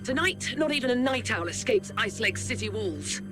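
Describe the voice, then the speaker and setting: Game role: stern lady commander woman performer, high voice, scornful, authoritative, music underneath. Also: expressive, moderate pace, natural voice. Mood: angry, commanding. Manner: commanding, scornful, sharp orders. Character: stern, imperious female military commander. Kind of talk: scripted video game acting.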